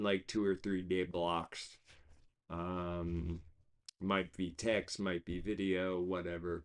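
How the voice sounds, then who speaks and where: A man hosting a casual livestream, rambling with many fillers and restarts, his voice gone hoarse. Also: deep voice. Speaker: host of an online reading series.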